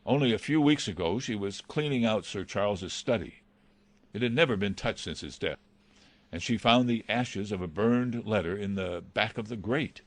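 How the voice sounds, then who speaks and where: A deep-toned butler played by a man speaks with anxious respect and formal hesitation. He sounds loyal and pleading, as in a classic mystery story, recorded very dry. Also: no echo. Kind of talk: dramatised reading of a classic novel.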